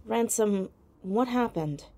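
A woman playing a sharp, persistent fourteen-year-old girl detective in an audio drama, questioning directly. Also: mid-range voice, animated, takes it slowly, slight background noise. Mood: curious, concerned. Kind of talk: audio drama acting, naturalistic.

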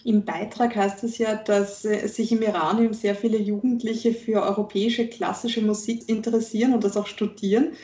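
A woman's voice: medium pitch, fairly even intonation, moderate pace, few pauses, dark tone, roomy sound. A female interviewer asking a question in a plain, matter-of-fact way.

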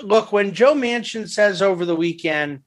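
A man's voice: sits high, normal speed. Thoughtful man giving market commentary. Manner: thoughtful, hedging, many restarts. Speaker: investment firm head and market commentator. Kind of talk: conference call market commentary. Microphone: phone or conference call line.

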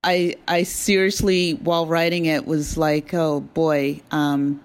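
Woman writer chatting in an interview, lowish voice, thinks as she goes, halting. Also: little pitch movement, clear, resonant tone. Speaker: audio drama writer, interview guest. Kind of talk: casual conversational interview.

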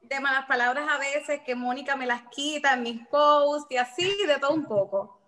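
High, bright Dominican woman. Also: normal speed. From Dominican Republic. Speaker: interview guest. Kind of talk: casual chat.

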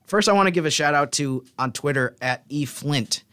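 A man's voice: on the high side, moderate pace, fairly bright tone, no room sound. Man explaining sports, dry close sound. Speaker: podcast co-host, stats analyst. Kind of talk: casual explanatory sports analysis.

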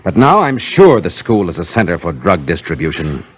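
An actor playing a shrewd, polite but commanding police inspector in a 1950s detective drama, with a dry, clipped, authoritative way of talking. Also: male voice, sits low, wildly swooping pitch, normal speed, slightly rough, heavily acted. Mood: brisk, probing.

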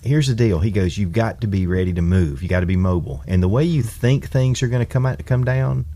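A man's voice: fairly low pitch, brisk, warm tone. A man in casual conversation, earnestly retelling a friend's story and quoting him with emphasis.

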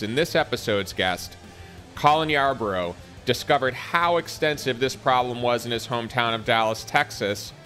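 A man's voice: medium pitch, bright, forceful tone. A man hosting a political podcast, reading a scripted intro with dry, wry asides, then interviewing a guest. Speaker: podcast host.

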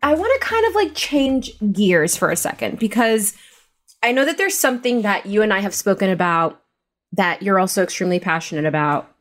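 A woman's voice: medium pitch, big pitch swings, fairly quick. A woman hosting a podcast, interviewing casually and feeling her way through her sentences. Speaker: podcast host.

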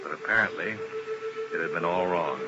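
A man's voice: deep voice, moderate pace, dull audio, loud music behind the voice, lightly acted. A man playing a terse hard-boiled insurance investigator. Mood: somber narration. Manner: terse, dry, probing. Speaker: narrator and lead detective. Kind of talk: 1950s radio drama acting. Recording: hissy old radio broadcast.

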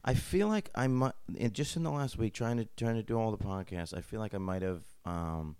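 A man's voice: lowish voice, brisk, a little mellow, clean tone, dry recording. A young man hosting a podcast in a friend's apartment, talking in a loose, rambling, joking way and acting out little asides. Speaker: podcast host. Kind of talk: casual interview chat.

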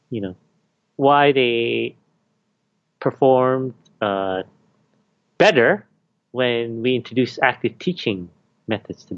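A man who was born in Malaysia and raised in Canada, a university teaching fellow answering interview questions in a hesitant, halting way. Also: medium pitch, a bit bright, dry recording, lo-fi sound. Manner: hesitant, many fillers and restarts.

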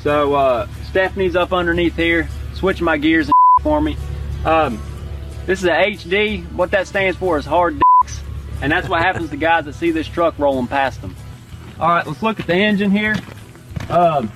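A man doing a deadpan, crude walkaround of his truck, laughing at his own jokes. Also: fairly high pitch.

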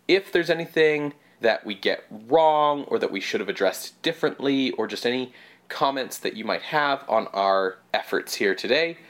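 A young man from Canada co-hosting a podcast, his voice a bit stuffed up and nasal. He talks casually, with a lively pitch that rises and falls a lot. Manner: chatty, animated delivery with quick asides. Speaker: podcast co-host.

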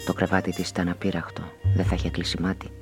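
An actor playing a weary, observant homicide detective who narrates his case in a measured, literary way in a radio play. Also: deep voice, monotone, natural voice. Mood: detached, reflective. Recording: music under the voice.

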